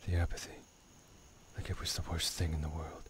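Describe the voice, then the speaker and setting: A very deep-voiced, weary father who once killed for a living, played by a man, speaks in subdued game dialogue. His delivery is reflective and fairly level, with noticeable noise behind him. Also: level tone, fairly quick, lightly acted. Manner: subdued, reflective, at times near whispering. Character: weary retired killer, a gruff devoted father.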